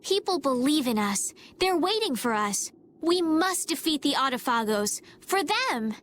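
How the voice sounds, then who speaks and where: Idealistic young noblewoman for a game, very high animated voice, earnest and polite. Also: measured pace, a little hiss or hum, exaggerated voice. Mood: determined. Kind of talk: English video game voice acting.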